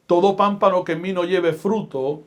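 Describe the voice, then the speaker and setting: A middle-aged man from Puerto Rico with a Puerto Rican accent, a pastor and radio host telling stories in a podcast interview with a deliberate, emphatic preaching delivery. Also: sits high, steady tone. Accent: Puerto Rican Spanish.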